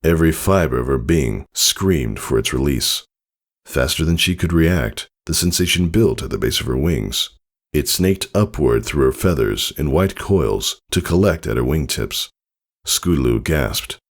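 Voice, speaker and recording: Very deep man narrating, lively intonation. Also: unhurried. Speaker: fan fiction narrator. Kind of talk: scripted dramatic story narration.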